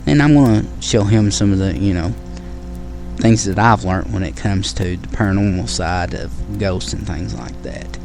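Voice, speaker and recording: A man's voice: low-pitched, moderate pace, vocal fry, over music. A man hosting his own show, talking casually to listeners in a rambling way with many fillers and restarts. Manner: friendly sign-off to listeners. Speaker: podcast host. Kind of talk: casual solo show talk.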